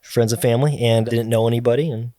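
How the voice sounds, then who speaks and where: An American man chatting casually as a guest, telling work stories in an animated way with acted-out quotes and slang. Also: mid-range voice, fast. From Cleveland, Ohio, United States. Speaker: air traffic controller, podcast guest. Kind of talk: casual conversational storytelling.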